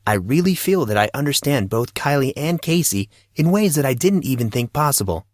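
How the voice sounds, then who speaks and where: An awkward, wisecracking schoolboy of fourteen in an audio story, acted out by a man. His pitch is lifted well up and his delivery is flippant and joking. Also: big pitch swings, a little fast, no room sound, clean studio sound, close to an everyday voice.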